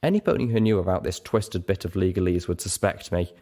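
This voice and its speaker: A man reading a scripted first-person story aloud as narrator, with expressive, dramatic delivery. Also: low-pitched, a little fast. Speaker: narrator of a fan fiction reading show.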